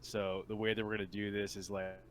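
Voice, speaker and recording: A man with a fairly low voice chats casually during a tabletop roleplaying game. There is a faint noise in the recording. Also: little pitch movement, fairly quick, fairly bright tone.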